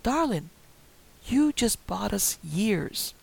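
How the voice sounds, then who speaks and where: Guy doing a sugary creepy old lady, cloying and fake-tender, raised high and gravelly. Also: expressive, fairly quick, exaggerated voice. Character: sweetly sinister older woman.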